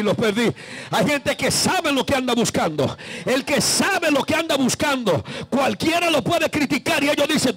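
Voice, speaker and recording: High, gravelly man preaching fervently. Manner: impassioned, exclamatory, repeating phrases for emphasis; breaks into speaking in tongues and calls on listeners to respond. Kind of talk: fervent Pentecostal sermon.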